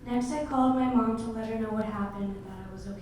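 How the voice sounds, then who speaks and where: A teenage girl reading her essay aloud quickly. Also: mid-range voice, darkish tone, slight background noise. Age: teens. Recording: echoey room from far off.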